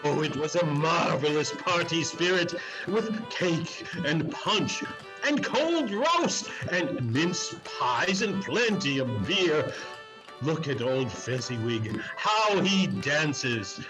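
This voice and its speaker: Man as an old miser, stagey, exclaiming, slow-paced, fairly high voice, dramatic reading. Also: sing-song, music in the background, rough recording. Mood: delighted nostalgia. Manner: theatrical, exclaiming, halting. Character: old miser, frightened, wistful and remorseful.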